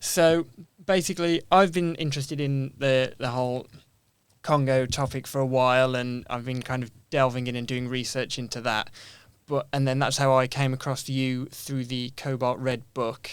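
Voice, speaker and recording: A man's voice: mid-range voice, flat delivery, moderate pace, smooth, no echo. A young man hosting a casual student podcast interview, asking informal, rambling questions. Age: young adult.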